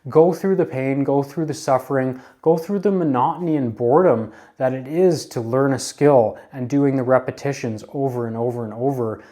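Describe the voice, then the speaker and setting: Man in his thirties, mellow and warm, casual earnest advice talk, coaching tone. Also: medium pitch, normal speed, few pauses. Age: 30s. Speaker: advice vlogger.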